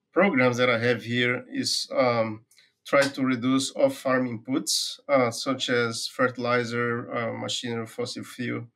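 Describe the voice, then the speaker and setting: A middle-aged man with a Brazilian accent speaks in an easygoing, conversational way, explaining his work as a researcher. His voice sits at an ordinary pitch, and his speech is full of small pauses, fillers and restarts as he gathers his thoughts. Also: a bit bright. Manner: thoughtful, many "uh" fillers and repeated words. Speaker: forage agronomy professor, guest. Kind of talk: conversational interview answers.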